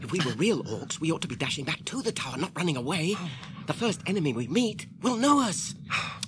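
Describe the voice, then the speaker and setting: A man with an English accent playing a weary, gentle, burdened hobbit ring-bearer in a radio drama, halting and tremulous in his speech. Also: fairly high pitch, very animated. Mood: urgent. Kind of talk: British radio drama acting. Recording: noisy archive radio drama recording.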